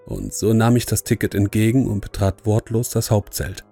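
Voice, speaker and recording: Male narrator for creepy audio story: deep-leaning, soft dark tone, scripted first-person telling over quiet music. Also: big pitch swings, normal speed, creaky, husky.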